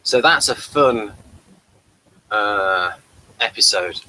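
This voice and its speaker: A man hosting a casual review chat, talking in a halting, rambling way. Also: lowish voice, lively intonation, bright, forceful tone, poor audio. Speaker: host of a TV review talk.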